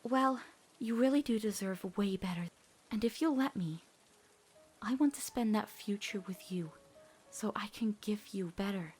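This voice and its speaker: A woman voicing an earnest, awkward teenage girl in an emotional cartoon comic dub, heartfelt and halting when nervous. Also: medium pitch, darkish tone, breathy edge, soft background music. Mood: tender, sincere.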